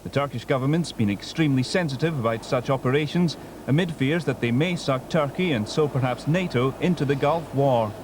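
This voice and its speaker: A man's voice: mid-range voice, brisk, noisy background. A man with a British accent voicing a scripted news report.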